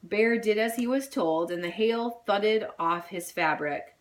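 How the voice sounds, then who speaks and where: A woman reading a children's story aloud in an expressive style. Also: mid-range voice, unhurried. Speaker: storybook reader.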